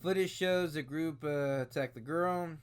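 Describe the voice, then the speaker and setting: A man's voice: a little high, a little slow, clean tone. A man in his late twenties talking through a news story in casual, rambling commentary, full of fillers and restarts. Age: 20s. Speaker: video host reacting to news.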